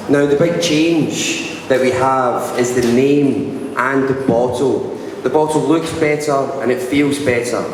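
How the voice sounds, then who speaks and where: A man with a Scottish accent gives a speech to an audience at a launch event, with dry, self-deprecating humour. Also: mid-range voice. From Scotland. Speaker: brand ambassador presenting at a launch event. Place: event hall. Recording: echoey hall from a distance, background noise.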